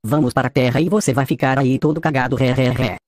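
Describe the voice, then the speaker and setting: A man's voice: mid-range voice, steady tone, fast, clean studio sound, natural voice. A man with a Brazilian accent playing a mocking, laid-back cartoon henchman with slangy, teasing delivery. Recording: roomy echo, faint music.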